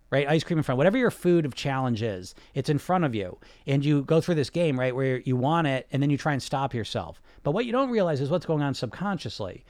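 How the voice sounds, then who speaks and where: A man's voice: a little high, darkish tone, no room sound. A man hosting a podcast as a weight-loss coach, talking in a casual, emphatic way with lots of "you know" fillers. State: sniffling. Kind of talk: casual monologue.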